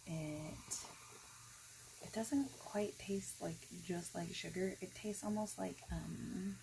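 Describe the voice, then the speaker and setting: Softly whispering woman, slow and gentle. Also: lowish voice, steady tone, background noise. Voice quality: soft, breathy, often whispered. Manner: gentle, apologetic, informal. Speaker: ASMR roleplay performer. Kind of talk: soft-spoken, whispered ASMR roleplay as a friendly boss chatting informally. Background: clicking of keyboard and small handled objects.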